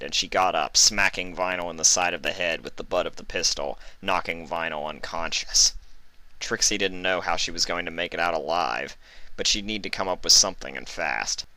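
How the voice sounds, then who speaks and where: Deep, forceful male story narrator. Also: no echo, low-quality recording. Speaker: fan fiction narrator. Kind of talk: dramatic scripted story narration.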